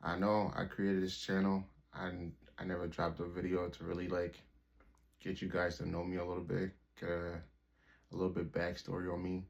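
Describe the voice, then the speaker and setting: A man's voice: low voice, monotone, normal speed, gravelly. A man in his twenties from Liberia, telling a personal story to his channel in a loose, rambling way with plenty of "like" and cursing. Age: 20s. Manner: rambling, loose and profane, full of "like" and restarts. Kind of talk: casual storytelling vlog. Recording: dull lo-fi recording.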